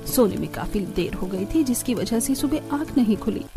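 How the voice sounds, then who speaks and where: A woman voicing an apologetic, careworn middle-aged village mother-in-law in a cartoon, explaining things softly. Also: on the high side, lively intonation, normal speed, music in the background.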